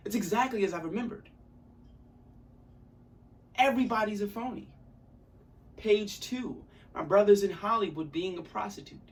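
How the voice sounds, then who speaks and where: A young man acting an intense, articulate character in a theatrical monologue, with emphatic delivery and deliberate pauses. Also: sits high, voice pushed up. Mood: incredulous, building. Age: young adult. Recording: distant mic, audible room noise.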